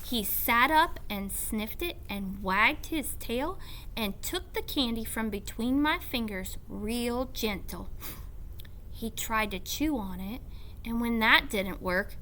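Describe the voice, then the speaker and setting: A woman reads a children's story aloud like a teacher. Her high, bright voice moves deliberately and swoops expressively through the narration. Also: a little hiss or hum, low-quality recording. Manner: deliberate, animated.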